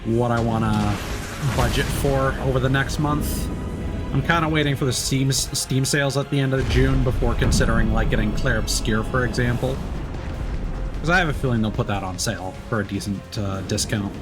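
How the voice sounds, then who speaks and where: A man chats informally through a gameplay livestream in a middling-to-low voice. Game music plays clearly behind him as he talks to viewers in a loose, casual way. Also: normal speed. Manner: chatty, informal, casually swearing. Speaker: livestreamer playing a video game.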